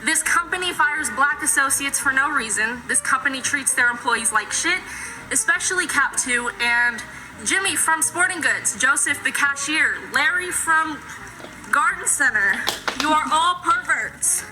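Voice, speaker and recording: A woman's voice: sits high, animated, a little slow, background noise. A woman making a blunt, defiant, profane announcement over a store PA. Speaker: retail worker quitting. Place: large retail store. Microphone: store PA phone, recorded on a video clip.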